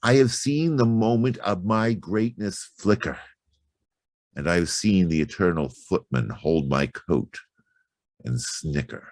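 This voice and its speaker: Guy doing a theatrical poetry recital, really slow, low pitched, lingering pauses. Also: darkish tone, no room sound. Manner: theatrical, deliberate phrasing with long pauses. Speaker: poetry reader.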